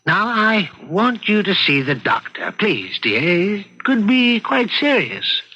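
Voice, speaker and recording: An actor playing a fussy, pedantic, anxious husband in an old-time radio mystery, lecturing and insistent. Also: male voice, sits high, very animated, slow pace, sharp tone, heavily acted. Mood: insistent, concerned. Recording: muffled, faintly noisy radio drama recording.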